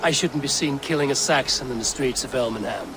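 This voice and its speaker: An actor playing a seasoned Viking warrior who speaks in a measured, commanding way. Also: male voice, medium pitch, noisy background, natural voice. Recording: game cutscene with loud ambience.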